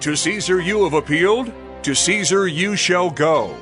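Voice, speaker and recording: An actor playing a formal, commanding Roman governor in a dramatized audio Bible, speaking in an oratorical manner. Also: male voice, high-pitched, normal speed, a bit bright, soft background music. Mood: formal, authoritative.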